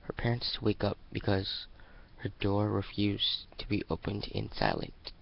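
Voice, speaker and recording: Gravelly slow-reading man, low voice. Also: big pitch swings, lo-fi sound. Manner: halting, stumbles and restarts lines. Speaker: amateur fan fiction reader. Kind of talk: dramatic story narration.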